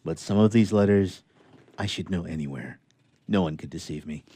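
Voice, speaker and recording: A man playing a grave, courteous young doctor in a sentimental radio theatre story, speaking in a measured, earnest way. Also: deep voice, warm tone, no echo. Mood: earnest, tender.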